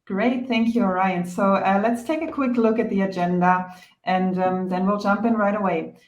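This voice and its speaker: A woman with a German accent opens and steers an online training session, friendly and organized. Her middle-pitched voice carries some room echo over the video call, and small fillers dot her speech. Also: steady tone, normal speed, lo-fi sound. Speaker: product marketing host. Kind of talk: webinar presenting. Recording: echoey room.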